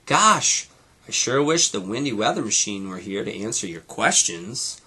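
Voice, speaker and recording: A man's voice: on the low side, sing-song, moderate pace, bright, forceful tone, husky, exaggerated voice. A man voicing a cheerful, friendly cartoon mouse uncle who eagerly explains things, reading from a storybook.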